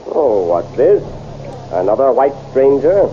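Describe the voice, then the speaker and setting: An actor playing a stern, proud exiled prince in a 1930s radio serial, with commanding, formal speech, in a dull lo-fi old recording. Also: male voice, medium pitch, very animated, unhurried, slight background noise.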